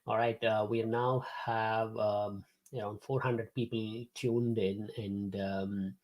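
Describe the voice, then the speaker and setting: A man's voice: lowish voice, little pitch movement, slow, darkish tone, low-quality recording. A man, hosting a live-streamed class from his home office, chatting to viewers with many fillers and restarts. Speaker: host and instructor.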